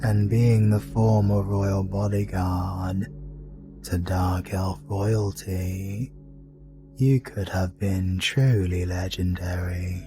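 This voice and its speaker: Man playing a very slow, haughty demon king. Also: low voice, steady tone. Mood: disdainful. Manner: slow, deliberate, disdainful. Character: haughty, cold demon king, scornful and imperious. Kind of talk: dramatic fantasy audio roleplay acting. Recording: music behind the voice.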